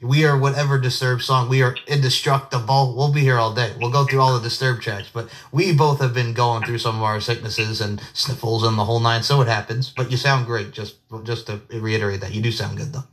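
A man's voice: medium pitch, fairly even intonation, brisk, few pauses, a bit bright. A young man hosting a podcast, hyped and effusive, often repeating himself as he chats with his guest. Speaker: podcast host. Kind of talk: casual interview chat.

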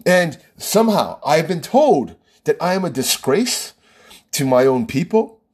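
An American man with a slightly husky voice holds forth on his own podcast. His pitch sits a bit high and swings widely as he presses his points. He keeps checking in with a rhetorical "right?". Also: very animated, measured pace, darkish tone. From United States. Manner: emphatic, rhetorical, frequent "right?" asides. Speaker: podcast host. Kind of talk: opinionated solo podcast monologue.

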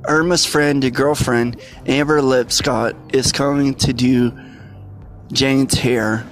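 A man hosting a podcast, giving an informal introduction in a halting way and stumbling over phrases. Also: medium pitch. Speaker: podcast host.

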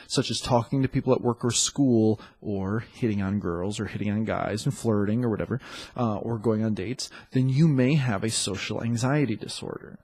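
A man hosting a dating podcast in a loose, chatty way, sounding congested and often pausing on fillers. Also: medium pitch, a little mellow, slightly rough, low-quality recording. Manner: loose and chatty, with many fillers and self-corrections. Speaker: podcast host and dating coach. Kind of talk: casual solo podcast talk.

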